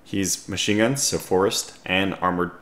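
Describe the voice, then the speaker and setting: A man with a scratchy voice giving casual strategy game commentary, calmly thinking aloud as he analyses his options. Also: deep voice, measured pace, echoey room. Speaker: gaming video host.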